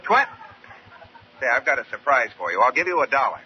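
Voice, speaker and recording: An actor playing a well-meaning, sheepish American husband, somewhat hesitant, in 1940s radio comedy style. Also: male voice, medium pitch, sing-song, a little fast, natural voice. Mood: polite. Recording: muffled vintage radio recording with hiss.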